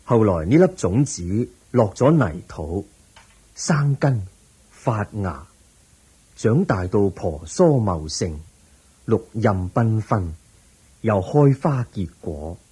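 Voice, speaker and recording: A man acting a calm, patient, wise teacher in a radio drama, measured and gently instructive, with a Hong Kong Cantonese accent. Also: lowish voice, husky, full character voice.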